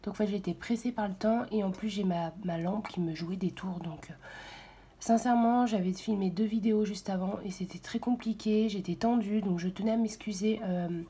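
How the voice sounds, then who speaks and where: A hoarse woman speaking softly and half-whispering at home in the early morning, hesitant and full of fillers as she walks through her weekly budget. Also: mid-range voice, moderate pace. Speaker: budget vlogger. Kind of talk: casual budget walkthrough.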